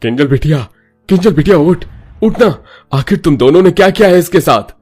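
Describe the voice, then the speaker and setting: A man's voice: fairly high pitch, lively intonation, moderate pace, darkish tone, husky, soft background music, pitched above the performer's own voice. A man voicing a worried, protective middle-aged father in a melodramatic storybook tale, urgent and distressed. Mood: alarmed.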